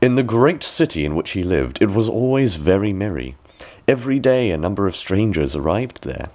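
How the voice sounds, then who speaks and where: A man with a deep voice tells a scripted fairy tale. His delivery is expressive and highly varied in pitch, with measured phrases set out deliberately for the listener. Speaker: storyteller.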